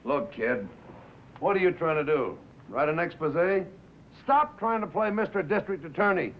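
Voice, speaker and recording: Casting: brash American bandleader, defensive wise guy, animated higher voice, 1950s film drama. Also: moderate pace, a little hiss or hum. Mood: insistent. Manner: slangy, cocky, punchy street talk. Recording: muffled vintage film soundtrack.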